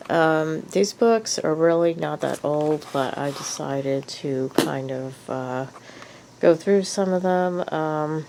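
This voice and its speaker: A woman's voice: low voice, creaky, darkish tone, slight background noise. A woman with a cold, sniffly and hesitant, talking casually in a show-and-tell vlog with lots of ums and uhs. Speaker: vlogger.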